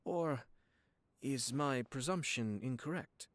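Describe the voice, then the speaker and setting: An actor playing a courteous young noble clan head in an anime game, speaking in a formal, measured, genteel manner. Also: male voice, mid-range voice, a bit bright, no echo. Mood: smooth, inviting. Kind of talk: anime video game voice acting. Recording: music playing behind the voice.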